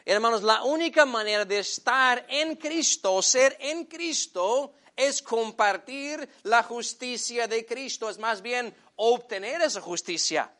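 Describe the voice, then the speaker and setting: A man whose first language is English preaching a sermon in Spanish, addressing the congregation as brothers and slowing down to stress key phrases. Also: very high voice, lively intonation, bright, forceful tone. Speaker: pastor.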